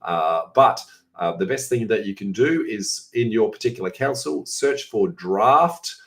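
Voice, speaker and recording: Hoarse raspy Australian man. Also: fairly low pitch, expressive, a little slow, fairly bright tone. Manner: chatty, many "uh" fillers, self-correcting. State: hoarse, coughing. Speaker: host answering viewer questions. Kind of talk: informal Q&A session.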